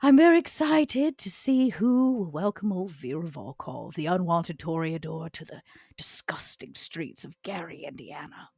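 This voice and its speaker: A woman's voice: on the low side, expressive, normal speed, vocal fry, darkish tone, slightly rough, no echo, studio quality, exaggerated voice. A woman playing a proud, scornful old vampire in a tabletop roleplay, with haughty, theatrical, formal phrasing. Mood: proud, defiant. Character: proud, scornful old female vampire named Vera, elegant and ambitious.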